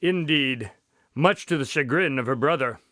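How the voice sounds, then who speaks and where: A man playing a courteous doctor and investigator in a radio drama, with polite, formal, measured phrasing. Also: medium pitch, pushed and bright, no echo, natural voice. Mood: composed storytelling. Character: courteous male doctor and investigator recounting a case.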